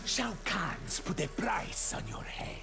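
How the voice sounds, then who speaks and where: An actor voicing a menacing, greedy demonic minion with a raspy voice in a fighting game. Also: male voice, high voice, expressive, slow pace, heavily acted. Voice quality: gravelly, raspy. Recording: loud game music and effects behind.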